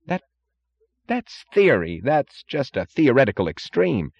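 A man's voice: medium pitch, wildly swooping pitch, a little slow, vocal fry, a little mellow, no room sound, highs cut off, pitched above the performer's own voice, exaggerated voice. A man voicing an anxious, evasive official who talks in a flustered, urgent way. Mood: flustered, protesting.

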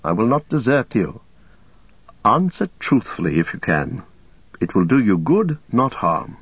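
A man's voice: fairly low pitch, very animated, a little slow, slightly rough, dry recording, muffled recording, exaggerated voice. A man voicing an earnest young male hobbit who speaks courteously and formally. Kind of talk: audiobook character voice.

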